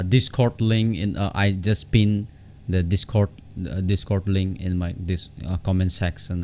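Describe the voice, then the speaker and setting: Low, gravelly man giving a halting tutorial. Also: dark tone. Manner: halting, repeats words and phrases. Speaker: tech tutorial vlogger. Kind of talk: informal step-by-step software tutorial. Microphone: separate desktop condenser microphone. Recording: low background noise on home recording.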